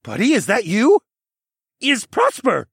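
Man as young male adventurer, audiobook fantasy, excitable, blurting, informal, high lively pitch. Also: unhurried, gravelly, slightly rough, no echo, studio quality, heavily acted. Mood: amazed, giddy.

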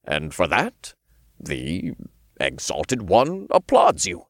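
A smug male archangel acted by a man speaks with formal, measured condescension. His stern negotiator's voice has a wide melodic range, recorded close and dry for a fantasy audiobook. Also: deep voice, huge pitch swings, dry recording, exaggerated voice. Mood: grudging.

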